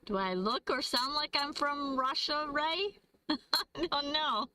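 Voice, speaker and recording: Young woman hosting a live trivia chat; fairly high, bright voice, unhurried delivery, chatty and quick to laugh while reading comments. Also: low-quality recording. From Michigan, USA. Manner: chatty, laughs often, reads viewer comments aloud. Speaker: livestream host. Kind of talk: casual trivia livestream chat.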